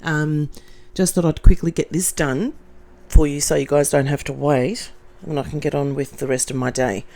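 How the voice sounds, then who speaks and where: A middle-aged Australian woman with a lowish, nasal, croaky morning voice. She reads out viewer comments in a casual vlog and answers them with blunt, sarcastic asides. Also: fairly even intonation, normal speed, warm tone. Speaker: commentary vlogger.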